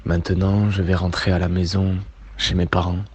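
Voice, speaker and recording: A man with a French accent reading a simple sentence flatly in a recorded voice note. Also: deep voice, fairly quick, slightly rough. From France. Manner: flat, plain reading of a set sentence. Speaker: friend in a voice note. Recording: dull lo-fi voice note.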